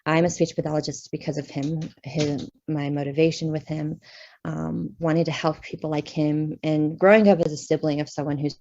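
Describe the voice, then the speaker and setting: Woman, speech pathologist, candid conversational talk, lowish voice, dull lo-fi audio. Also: level tone, moderate pace, a little mellow. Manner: candid, conversational, many fillers. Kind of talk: personal talk at a conference session.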